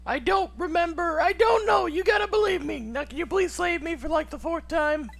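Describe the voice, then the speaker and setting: A woman voicing a brash, excitable, defensive female detective in a mystery game. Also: very high voice, moderate pace, gravelly, soft background music. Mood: defensive, upset. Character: brash, headstrong young female detective, highly strung.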